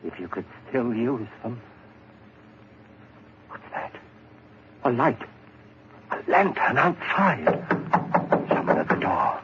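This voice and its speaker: An actor playing a nervous, guilt-ridden young murderer in a 1940s radio drama, stammering and quick to panic. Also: male voice, medium pitch, very animated. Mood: brooding. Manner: jittery, stammering, rising to panic. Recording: dull old radio recording with hiss.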